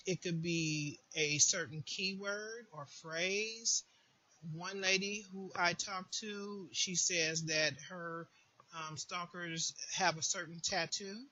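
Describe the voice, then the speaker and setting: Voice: medium pitch, pushed and bright, muffled recording, slight background noise. A person giving an informal, earnest advice monologue, repeating phrases for emphasis, as a support group host would.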